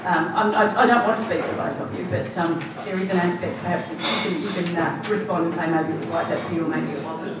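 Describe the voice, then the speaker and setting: A woman's voice: on the low side, fairly even intonation, fast talker, few pauses, heavy background noise. Woman speaking in an echoey hall. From Australia. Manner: reflective, personal storytelling with frequent ums and restarts. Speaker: forum speaker. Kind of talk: public talk at a forum. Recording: echoey hall recorded from a distance.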